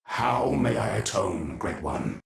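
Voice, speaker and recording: A man's voice: lowish voice, animated, slow pace, full character voice. A man voicing a dutiful, grim ancient machine lieutenant in a video game, formal and subservient. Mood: deferential, grim. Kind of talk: dramatic video game cutscene acting. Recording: echoey voice under clear music.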